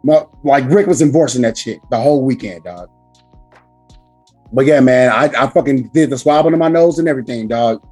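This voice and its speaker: A man hosting a casual podcast chat with a hoarse, raspy voice from screaming, talking loudly and emphatically with lots of swearing. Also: mid-range voice, lively intonation, fairly quick, faint music behind. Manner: loud, profane, emphatic. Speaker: podcast host.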